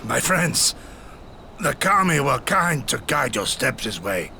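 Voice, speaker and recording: An actor playing a gentle, honourable old samurai in a video game, speaking gravely and with archaic formality. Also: male voice, mid-range voice, sing-song, slight background noise, heavily acted. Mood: gentle, solemn. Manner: slow, solemn, archaic formality. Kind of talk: English video game cutscene acting.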